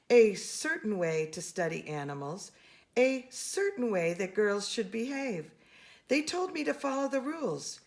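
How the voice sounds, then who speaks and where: A woman reading a children's picture book aloud, expressively and with deliberate emphasis on key words. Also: mid-range voice. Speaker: storybook reader.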